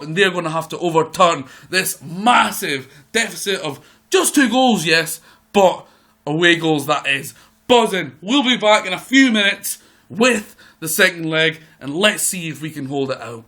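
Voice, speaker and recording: A man with a croaky voice giving excitable live play-by-play commentary over a football management game. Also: high voice, normal speed. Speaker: gaming video host.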